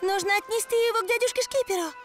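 Женщина озвучивает маленькую заботливую девочку-котёнка, серьёзную, из детского мультфильма. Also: female voice, very high-pitched, quick pace. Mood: tender, worried. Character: small caring young girl kitten, earnest. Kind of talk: children's cartoon acting. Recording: music under the voice.

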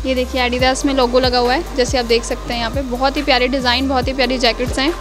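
A woman's voice: high-pitched, little pitch movement, fast, music in the background. A young woman as a video reporter in a shop, talking into a handheld mic with gushing, upbeat sales patter. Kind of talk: promotional shop tour. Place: indoor wholesale shop.

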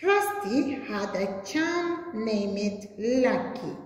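A young adult woman preschool teacher reading a story to small children in a deliberate, singsong way, recorded in a classroom. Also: on the high side, animated. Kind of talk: children's read-aloud story lesson. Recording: very echoey hard-walled room.

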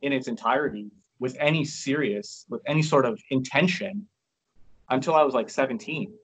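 A man's voice: medium pitch, lively intonation, normal speed, husky, rough recording. A man in his thirties from Canada, a guest talking frankly on a video call, with many restarts and fillers. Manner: frank and chatty, many restarts and "you know". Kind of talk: casual interview on a video call. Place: indoors by a large window.

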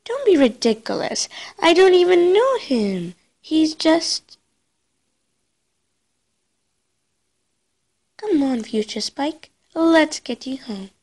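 Woman acting a bookish young cartoon pony princess, high voice, earnest, excitable, emphatic. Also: slow, exaggerated voice. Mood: urging, annoyed.

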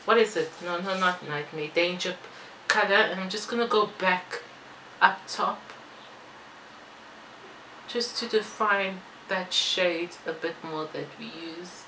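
Female beauty vlogger, 20s. Bright, slightly low voice, rambling and informal, echoey room. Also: a little slow, pauses often. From born in Belgium, moved to South Africa. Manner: informal, rambling asides while busy applying makeup. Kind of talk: chatty makeup tutorial and Q&A. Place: small bare-walled room indoors. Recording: echoey room with some background noise.

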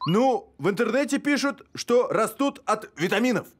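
A man voicing a scatterbrained, boastful, good-natured talking dog in an animated cartoon, rambling and excitable. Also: extremely high pitch, moderate pace. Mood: chatty, eager. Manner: rambling, excitable, tells tall anecdotes.

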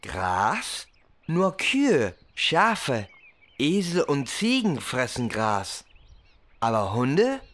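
A woman's voice: extremely low pitch, huge pitch swings, extremely slow, fairly bright tone, exaggerated voice. A woman voicing a helpful, cheerful talking smartphone navigator that explains things in a friendly sing-song way for a children's cartoon. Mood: helpful, explaining.

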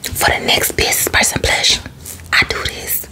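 Woman playing a sassy whispering salon beautician. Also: close to an everyday voice. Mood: haughty, proud. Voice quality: breathy whisper. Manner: slow, sassy, colloquial patter with repeated phrases. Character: sassy, defensive, unprofessional salon beautician attending a client. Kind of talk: whispered ASMR roleplay.

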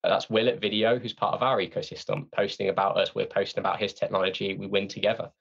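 A man with a lowish, gravelly voice answering questions on a podcast. He talks with energy, in a loose conversational way, often repeating a word as he restarts. The audio sounds dull and lo-fi. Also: little pitch movement, quick pace, a bit bright. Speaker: founder of a sales training company.

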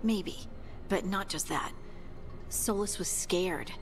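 Game companion woman actor: young adventurer, earnest and troubled, mid-pitched, prominent music behind. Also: slow, natural voice.

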